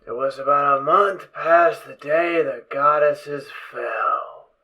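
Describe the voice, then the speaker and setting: A brainy ghoul pony scientist, played by a man in an audio drama, with a bright, tense voice. He lectures in a brisk, absorbed manner, though his overall pace is slow with pauses. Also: on the high side, rough recording. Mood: grim storytelling. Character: distracted brainy undead pony scientist, camp leader. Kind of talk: amateur audio drama acting.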